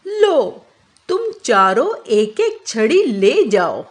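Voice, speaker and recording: A woman acts out a self-assured royal adviser from a children's moral cartoon. The voice is high and very expressive in pitch. Explanations come slowly and deliberately, with calm authority. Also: heavily acted.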